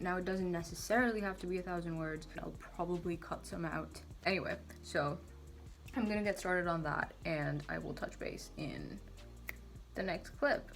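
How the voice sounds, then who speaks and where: A woman in her twenties from Saudi Arabia, talking casually to camera in a chatty, self-deprecating way. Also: fairly low pitch. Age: 20s. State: tired. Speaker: vlogger. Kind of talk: casual vlog talk to camera.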